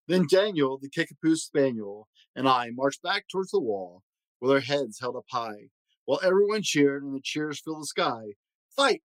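A man's voice: medium pitch, expressive, moderate pace, dry recording, poor audio. A man hosting a read-aloud, telling a rhyming children's story in a theatrical, sing-song way. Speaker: host reading a children's book aloud.

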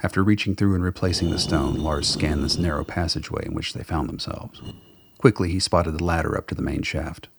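A man narrating a scripted radio drama in a measured, wry storytelling manner. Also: a bass voice, fairly even intonation, vocal fry, noisy background.

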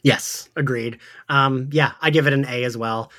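A man's voice: medium pitch, fairly even intonation, moderate pace, fairly bright tone. A man hosting a casual podcast discussion in a giggly, agreeable manner. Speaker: podcast host leading a discussion. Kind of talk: casual chat.

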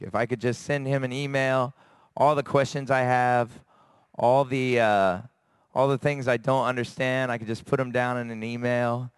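A man from America preaches to his congregation with a voice gone raspy and hoarse. He speaks earnestly, searching for words with pauses and fillers. His middle-pitched voice sounds close and dry on a handheld mic. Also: dry recording. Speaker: church elder preaching. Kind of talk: sermon. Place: church service. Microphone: handheld microphone.

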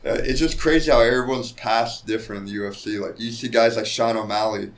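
A man's voice: medium pitch, steady tone, fast talker. A man who fights professionally, talking casually in an interview about diet and his career, with a loose, rambling manner full of fillers and restarts. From Mexican heritage. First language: Spanish speaker. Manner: laid-back, rambling with many likes and restarts. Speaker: mixed martial arts fighter, guest.

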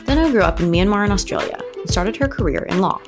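A woman's voice: medium pitch, animated, quick pace. A woman hosting a podcast, reading an upbeat prepared introduction of her guest. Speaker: podcast host. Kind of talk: scripted intro and interview questions. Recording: music playing behind the voice.

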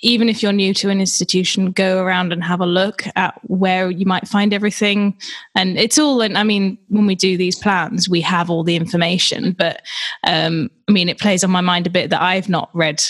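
A woman with a slightly low voice lays out practical steps on a panel. She thinks aloud, with small asides to herself. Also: fairly even intonation, moderate pace, fairly bright tone. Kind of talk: casual panel discussion.

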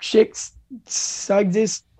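Slow, halting woman. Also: mid-range voice, level tone, mellow. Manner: halting, restarts words, mixes English into French, laughs often. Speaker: guest. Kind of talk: casual interview talk.

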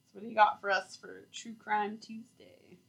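A woman co-hosting a podcast in a loose, chatty way, with a voice of middle pitch for a woman. She strings her telling together with plenty of "like" fillers and breaks into laughter often. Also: little pitch movement, normal speed, vocal fry, a bit bright, rough recording. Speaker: podcast co-host. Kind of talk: casual chat.

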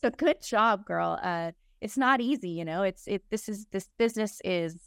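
A woman's voice: mid-range voice, expressive, moderate pace, gravelly, clean tone, dry recording, clean studio sound. A woman hosting a podcast interview over a video call. She is appreciative and talks casually, with fillers and restarts. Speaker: podcast host.

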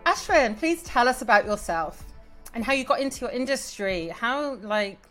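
A woman's voice: on the high side, expressive, moderate pace, fairly bright tone, soft background music. A woman hosting a podcast who introduces her guest in an upbeat, chatty way. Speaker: podcast host.

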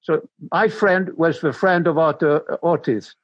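Halting older Swedish man, dull dry recording. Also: fairly high pitch, dry recording. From Sweden. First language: Swedish and Danish. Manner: halting, repeats words as he searches for them. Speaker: interview guest. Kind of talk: recounting his life story in conversation.

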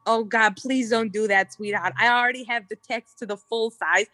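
Woman putting on a brash high-voiced old grandma. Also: steady tone, moderate pace, sharp tone, no echo, studio quality. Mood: pleading, complaining. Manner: crude, rambling, wheedling endearments. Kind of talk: improv comedy sketch.

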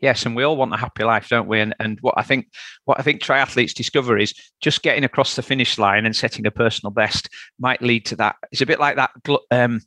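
A man's voice: mid-range voice, sharp tone, no room sound. A man with a Yorkshire accent hosting a fitness podcast, chatty and friendly, interviewing a guest. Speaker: podcast host.

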